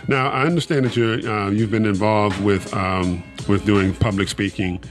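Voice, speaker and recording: A man hosting an interview show, speaking haltingly with many uhs and restarts. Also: lowish voice, steady tone, music in the background. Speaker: podcast host.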